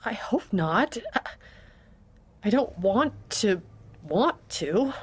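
An actress playing a wife who is confused and anxious about her feelings, halting at times and bluntly teasing at others, in an audio drama. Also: mid-range voice, sing-song, breathy edge, full character voice. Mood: uncertain. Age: 40s.

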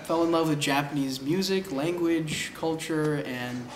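A man's voice: fairly high pitch, a little slow, reverberant, a crowd in the background. A man in his twenties from Oklahoma, answering interview questions with enthusiasm. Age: 20s. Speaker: singing contest entrant. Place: wood-panelled room, seated in armchair.

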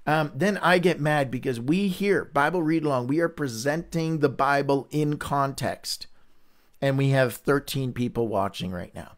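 A man's voice: medium pitch, normal speed. Earnest candid man leading Bible study. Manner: earnest, candid, conversational teaching; rambling with frequent restarts. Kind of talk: livestreamed Bible reading and discussion.

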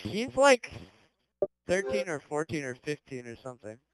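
A man's voice: a little high, sing-song, normal speed, rough recording. A teenage boy chatting and laughing over a gaming headset while he plays, full of playful questions. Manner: chatty, giggly, playful would-you-rather questions. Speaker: gamer in voice chat. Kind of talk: casual party chat while playing.

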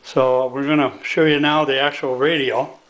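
An older man with an American accent giving a casual hands-on demonstration in a halting, folksy, plain-spoken way. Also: medium pitch, little pitch movement, a bit bright, low-quality recording. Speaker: hobbyist demonstrator. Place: indoors at a home workbench.

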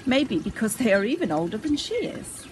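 A woman's voice: on the high side, very animated, normal speed, darkish tone. A woman in her sixties reads a children's story with very varied intonation. She is outdoors, a little way from the camera, with faint trickling water and garden noise behind her. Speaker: storybook reader. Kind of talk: children's story read aloud.

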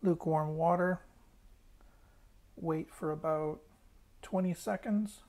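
A man's voice: on the high side, steady tone, slow, darkish tone. Man casually talking through hands-on work. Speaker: hobbyist restorer presenting a tutorial. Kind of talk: casual hands-on demonstration commentary.

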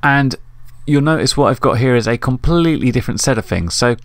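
A man's voice: medium pitch, animated, fairly quick, no echo. A middle-aged man narrating a casual tutorial in an informal, explanatory, conversational way. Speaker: host of an RC radio tutorial.

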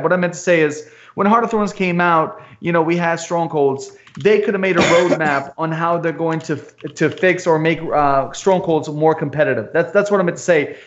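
Earnest man on a muffled call. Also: fairly high pitch. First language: Albanian. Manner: earnest, repetitive restarts. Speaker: podcast host. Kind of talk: casual video-call chat.

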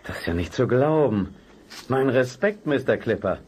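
An actor playing a gruff but good-natured uncle in a children's radio play, with a blustery, theatrical way of talking. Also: male voice, medium pitch, lively intonation, moderate pace, a little mellow, soft background music, natural voice. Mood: astonished. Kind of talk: German children's detective radio play acting.

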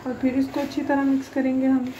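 घरेलू अंदाज़ में बोलती महिला कुकिंग होस्ट. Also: female voice, sits high, monotone, fast talker, mellow, lo-fi sound, a little hiss or hum. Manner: chatty, homely, many fillers like "jo hai na". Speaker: home cooking channel host. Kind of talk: casual cooking tutorial voice-over. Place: home kitchen.

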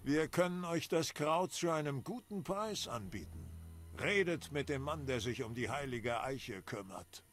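A man's voice: medium pitch, lively intonation, a little slow, fairly bright tone, faint music behind. A man voicing an old druid elder in a fantasy video game, formal and businesslike. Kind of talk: scripted game dialogue.

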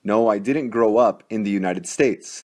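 A man teaching English, reading each drill sentence twice in a deliberate way. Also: fairly low pitch, a little mellow. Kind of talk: slow, repeated drill sentences for learners.

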